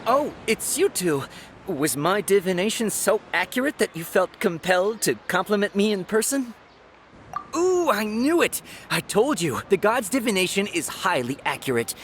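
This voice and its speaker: An actor playing a showy, excitable and self-assured male fortune teller, eager and theatrical, in a video game cutscene. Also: sits high, moderate pace, slight background noise. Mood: delighted boasting. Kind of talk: English game dub cutscene dialogue.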